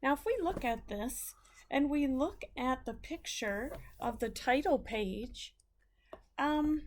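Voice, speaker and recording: A woman teacher reading a story aloud to children, explaining it deliberately as she goes. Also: high-pitched, a little mellow.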